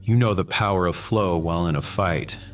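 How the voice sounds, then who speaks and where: An actor playing a gentle, devoted husband and father who speaks with solicitous reassurance in a dramatised audiobook. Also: sits low, moderate pace, recorded from a distance, over music, natural voice. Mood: concerned.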